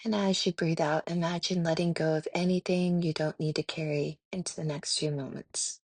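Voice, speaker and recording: A woman leading a guided meditation in a gentle, soothing, unhurried way with pauses. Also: low voice, fairly even intonation, no echo.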